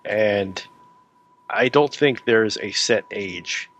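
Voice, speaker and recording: A man's voice: lowish voice, slow, a bit bright, dull audio. A man speaking casually as a novelist guest in a podcast interview. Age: young adult to middle-aged, past 28.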